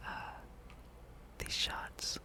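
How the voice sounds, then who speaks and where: A person whispering a relaxed ASMR gameplay commentary, breathy and rambling, with dry, wry asides. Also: very slow. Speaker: host of a gaming ASMR channel.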